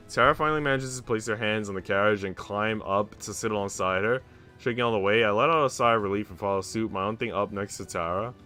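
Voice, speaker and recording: A male streamer with a bright, forceful voice on the low side. He reads a visual novel aloud and drops in casual, slangy asides over soft music.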